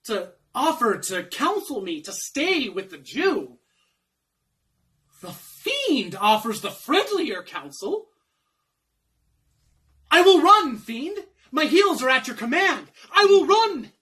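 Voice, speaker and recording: Man playing a dithering servant, bright and swooping. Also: very high voice, big pitch swings, takes it slowly, far from the mic, exaggerated voice. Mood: gleeful resolve. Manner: theatrical, shifting between tempter and conscience. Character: dithering, comic young male servant torn by temptation. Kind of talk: Shakespearean comic stage monologue.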